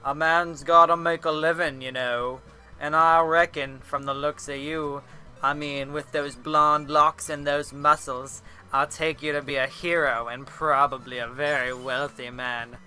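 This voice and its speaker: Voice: mid-range voice, level tone, bright, forceful tone, soft background music. A reader doing a sly, drawling, weaselly cobbler. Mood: grudging, sly. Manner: wheedling, drawn-out folksy talk.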